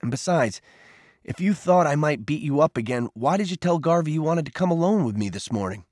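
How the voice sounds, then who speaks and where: Guy doing a sorry kid in an audiobook, pleading and earnest, keeping his temper, lively pitch. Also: fairly quick, no echo, clean studio sound, natural voice. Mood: calm, restrained.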